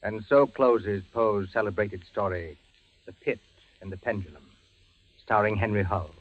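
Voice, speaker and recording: A man's voice: fairly low pitch, moderate pace, slight background noise. A man hosting a thriller series with formal, ominous scripted narration in 1940s radio style. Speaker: host of a thriller anthology. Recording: dull 1940s radio recording.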